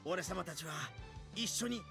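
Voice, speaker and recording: An actor voicing a brash, boastful, hot-blooded brawler in an anime fantasy game, with swaggering, rough, slangy speech and a gravelly voice. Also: male voice, sits high, brisk, exaggerated voice. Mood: rousing, boastful. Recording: loud game music over the voice.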